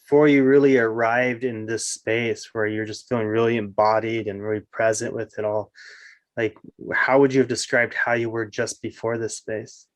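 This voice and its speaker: A middle-aged man hosting a video-call interview, speaking in a gentle, appreciative and affirming way. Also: medium pitch, flat delivery, moderate pace, no echo. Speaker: interviewer, community host. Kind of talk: warm spiritual interview chat. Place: indoor room with wall hangings.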